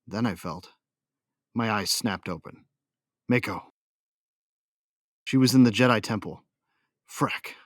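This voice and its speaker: A man's voice: lowish voice, slow, long pauses, dry recording, clean studio sound. A man playing a hot-tempered warrior who narrates his own battles in an audio drama, intense and sardonic. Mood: tense, brooding.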